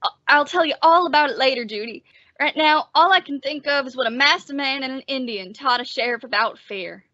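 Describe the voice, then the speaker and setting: High, bright-voiced young-sounding sheriff played by a woman. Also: brisk, no echo, lo-fi sound. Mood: earnest. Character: earnest, resolute male western sheriff. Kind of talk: old-time western radio drama acting.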